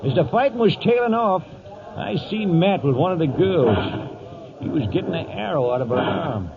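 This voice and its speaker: An actor playing an older American cowhand who narrates a trail drive in a drawling, folksy radio-western style. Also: male voice, sits high, animated, normal speed, vocal fry, crowd noise. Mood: reflective storytelling. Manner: drawling folksy cowboy grammar. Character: old cowhand telling a trail-drive story, folksy and plainspoken. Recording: tinny phone-like 1940s radio broadcast, music behind.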